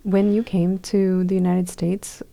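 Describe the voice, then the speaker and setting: A woman hosting a radio show in a studio, reading scripted introductions and asking questions in a calm, deliberate way. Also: on the low side, gravelly, no echo. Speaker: radio host.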